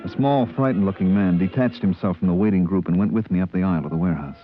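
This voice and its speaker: A man's voice: low-pitched, very fast, natural voice. An actor playing an incredulous, outspoken spaceship crewman who tells his story in a 1950s radio drama style. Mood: grave narration. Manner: emphatic, questioning, dramatic. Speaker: actor narrating and playing the lead. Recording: dull old radio recording, faint music.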